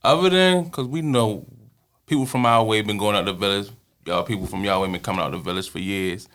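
A man in a casual interview who asks pointed questions in a deliberate way. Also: lowish voice, a bit bright.

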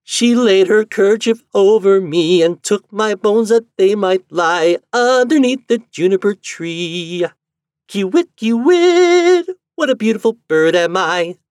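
A man voicing an enchanted storybook bird, eerie and mournful yet proud, in slow, drawn-out singsong verse. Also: on the high side, huge pitch swings, a little mellow, breathy edge, dry recording, clean studio sound, pitched above the performer's own voice, exaggerated voice.

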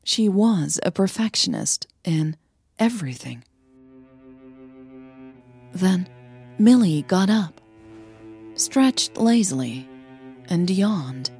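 A woman's voice: lowish voice, big pitch swings, takes it slowly, pauses often, dark tone. A woman hosting an audio fiction podcast, with music behind her voice. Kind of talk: scripted story narration and casual outro chat.

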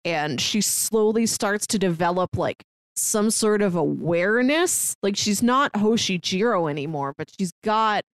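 A woman's voice: mid-range voice, expressive, a little slow, dry recording. Casual woman podcaster with a dry, close sound. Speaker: podcast co-host. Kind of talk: casual book discussion chat.

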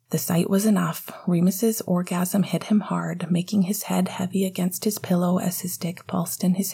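A woman narrating a story in a soft, intimate whisper. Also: lowish voice, vocal fry, breathy edge. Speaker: audiobook narrator.

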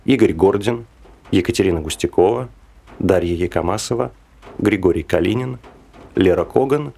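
A man with a gravelly, husky voice reading scripted credits as an announcer. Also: sits low, moderate pace, dark tone.

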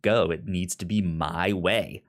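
Podcast co-host, male, very low voice, casual recap talk, frequent stammering. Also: fairly even intonation, measured pace. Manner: stammers often, repeating first sounds.

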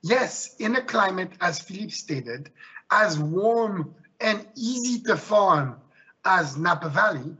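Passionate high-voiced Swiss-born man. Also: expressive, takes it slowly, bright, forceful tone, breathy edge, highs cut off. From Switzerland. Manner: passionate, emphatic. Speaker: winemaker. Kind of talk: panel discussion.

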